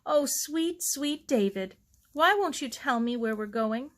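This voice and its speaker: An excited young bride-to-be, as a woman storyteller plays her, gushing and affectionate. Her voice sits high and lifts dramatically, in the manner of a storybook character. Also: unhurried. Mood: dreamy, elated. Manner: gushing, effusive. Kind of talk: children's novel read aloud.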